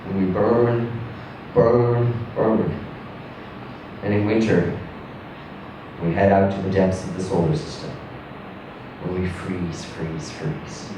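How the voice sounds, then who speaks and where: A man reading a literary short story aloud in a theatrical, emphatic manner, with deliberate pauses and swells. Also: low voice, steady tone. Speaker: story reader. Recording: distant echoey room with faint noise.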